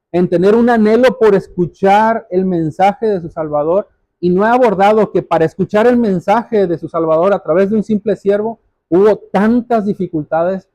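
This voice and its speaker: A male pastor delivers a sermon in a high, mellow voice. He exhorts his listeners earnestly and directly, circling back to key phrases to stress them. The sound is dry, with very little room around it. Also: dry recording. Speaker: pastor preaching to a congregation.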